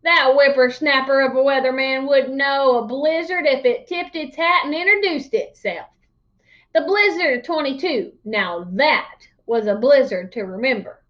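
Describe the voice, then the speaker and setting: Old country yarn-spinner, played by a woman: crotchety, emphatic, folksy storybook delivery, high register, lo-fi sound. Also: close to an everyday voice. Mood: grumpy, scolding. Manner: folksy, emphatic, drawn-out exaggeration. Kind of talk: storybook read-aloud character voice.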